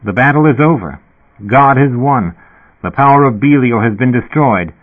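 A man's voice: mid-range voice, animated, normal speed, voice pushed up, natural voice. A man voicing a confused, deluded man who rambles earnestly. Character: confused, deluded man fresh from cryonic suspension. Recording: tinny phone-like sound.

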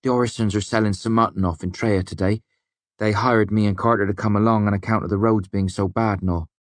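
A man voicing an eager sixteen-year-old smith's apprentice, earnest and quick to bristle when called a boy. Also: lowish voice, steady tone, no echo, voice pushed up, close to an everyday voice. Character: teenage male smith's apprentice, eager, defensive.

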